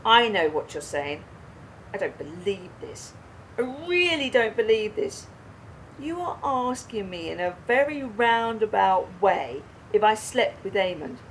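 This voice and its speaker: A woman's voice: mid-range voice, very animated, measured pace, slight background noise, low-quality recording. A woman playing an indignant, confrontational young wife in a radio play, speaking emphatically. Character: angry, indignant young wife in a roadside quarrel.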